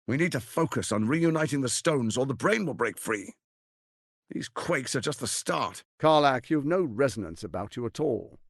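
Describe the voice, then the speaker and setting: Scheming tyrant lord, silky, condescending, theatrical video game delivery, highly animated intonation, dry studio sound. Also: male voice, dry recording. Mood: menacing, then grandly smug. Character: suave, ambitious, scheming male tyrant lord. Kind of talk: dramatic video game voice acting.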